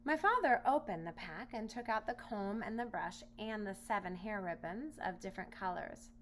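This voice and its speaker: A woman reading a children's storybook aloud in expressive narration. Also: medium pitch, normal speed. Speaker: storybook reader. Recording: noisy home recording with hum.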